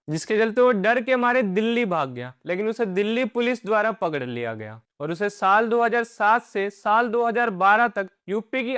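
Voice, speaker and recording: A man reads a scripted explainer in a notably high-pitched voice. His tone is clear and resonant, recorded close and dry, and he builds each point like a dramatic story. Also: a bit bright, dry recording. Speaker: narrator of an explainer video. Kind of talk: scripted documentary-style narration.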